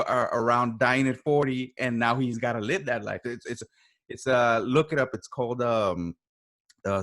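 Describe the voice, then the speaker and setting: A man talking casually as a podcast guest, halting and repeating his words and phrases as he goes. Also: medium pitch, fairly bright tone. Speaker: podcast guest, writer and voice actor. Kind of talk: casual interview chat.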